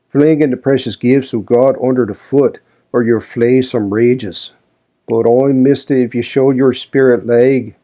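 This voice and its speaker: A man's voice: mid-range voice, warm tone, close to an everyday voice. A man voicing a grumbling, pious old manservant who scolds in a broad rustic dialect. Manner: scolding, preachy.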